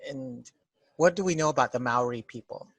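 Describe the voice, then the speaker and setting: A man with a Filipino accent hosting a relaxed art history lesson, asking his guest questions and laughing easily. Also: mid-range voice, normal speed, dry recording. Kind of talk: casual classroom discussion.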